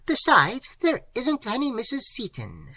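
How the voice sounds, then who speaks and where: A man voicing a sour, secretive old woman with a croaking voice, in an audiobook reading. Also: very high-pitched, wildly swooping pitch, measured pace, bright, forceful tone, dry recording, pitched above the performer's own voice, full character voice. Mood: curt. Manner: sour, prying, confidential. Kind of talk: audiobook character voice.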